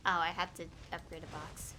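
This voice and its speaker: Low-key woman streamer thinking aloud. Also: level tone, brisk, background noise. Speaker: game streamer. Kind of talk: casual livestream gameplay commentary.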